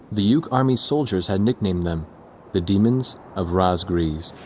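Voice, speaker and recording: Earnest war reporter played by a man, low and sober, measured delivery for military game cutscenes, faint noise behind. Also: a little mellow, close to an everyday voice. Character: earnest, reflective male war reporter narrating his memories. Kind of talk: scripted game cutscene narration.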